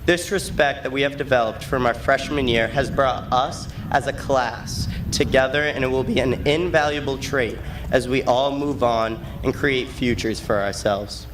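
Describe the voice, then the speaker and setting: A man's voice: mid-range voice, normal speed, few pauses, background noise. A young man, giving a prepared graduation speech as a student. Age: late teens.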